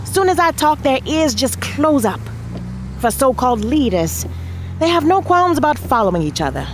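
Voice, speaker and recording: A woman's voice: high voice, sing-song, normal speed, slight background noise. An actress playing a determined, frustrated American congresswoman in an audio drama, emphatic and plain-spoken, with an American accent. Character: determined, frustrated congresswoman and wife with presidential ambition.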